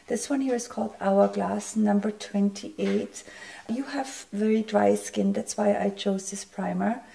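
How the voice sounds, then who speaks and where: Hoarse, gravelly woman losing her voice. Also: mid-range voice, steady tone, measured pace, dark tone, poor audio. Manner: chatty, friendly, explains as she works. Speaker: makeup artist. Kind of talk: makeup tutorial.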